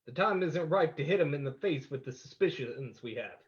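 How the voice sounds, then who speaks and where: Composed man playing a detective, shrewd, commanding, a little high-pitched, old-time radio drama, muffled recording. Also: lively intonation, moderate pace, slight background noise, lightly acted. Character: composed, shrewd amateur sleuth hunting a spy. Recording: dull lo-fi recording.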